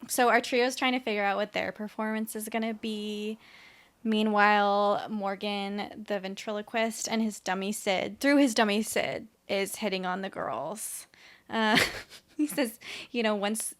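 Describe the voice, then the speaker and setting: A woman's voice: mid-range voice, measured pace. A woman co-hosting a TV recap podcast in a casual, chatty style. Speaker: podcast co-host.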